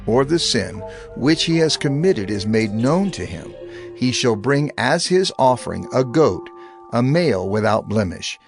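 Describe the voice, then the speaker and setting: A male narrator with a gravelly edge reads scripture in a steady, reverent way. His pitch moves expressively through each phrase. Music plays clearly underneath the narration. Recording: narration over background music.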